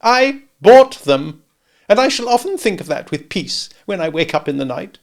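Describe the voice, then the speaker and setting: A man voicing a fussy, self-pitying older lady relative in a storybook reading, shrill and indignant. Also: big pitch swings, moderate pace.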